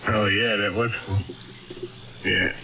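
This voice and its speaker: A man's voice: fairly low pitch, flat delivery, slow pace, noisy background. A man chatting casually as an amateur radio operator over a radio link. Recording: ham radio audio with background voices.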